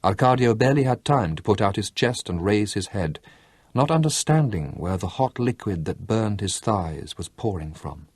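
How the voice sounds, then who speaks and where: A man narrating a novel as an audiobook, in a measured storytelling manner. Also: lowish voice, lively intonation, husky, no echo.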